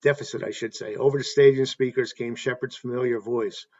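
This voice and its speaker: A man hosting a tribute talk, reading anecdotes in a halting way and correcting himself as he goes. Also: medium pitch, steady tone, darkish tone, lo-fi sound. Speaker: host paying tribute to a stadium announcer.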